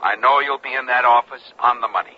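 An actor playing a cold, businesslike conspirator giving clipped orders in a 1970s radio drama. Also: male voice, medium pitch, fast, highs cut off, natural voice. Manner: clipped, commanding. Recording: sharp, band-limited radio sound with noise.

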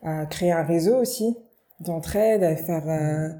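Woman interviewing someone casually, lots of euhs, deep mellow voice, echoey room. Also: measured pace. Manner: relaxed, informal, hesitant fillers. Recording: roomy, faint background noise.

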